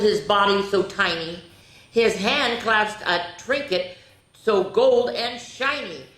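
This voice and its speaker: A woman's voice: mid-range voice, fairly bright tone. A woman hosting a children's storytime, reading picture books aloud with playful, chatty asides to her young listeners. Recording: echoey, roomy sound.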